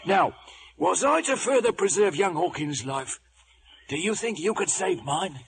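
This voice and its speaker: A roguish old sea cook speaks in an exaggerated West Country pirate brogue. The voice rides high and swings widely from cajoling growl to cry. Clear noise from an old film soundtrack lies under it. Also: male voice, lively intonation, measured pace, a bit bright, heavily acted. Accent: West Country English pirate brogue. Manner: rustic, drawling, exaggerated. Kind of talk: theatrical film acting.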